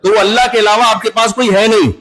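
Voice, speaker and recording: A man's voice: sits high, fairly even intonation, quick pace, a bit bright, low-quality recording. A man with an Urdu-speaking Pakistani accent giving a sermon, talking conversationally and acting out little dialogues with everyday examples. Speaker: Islamic preacher.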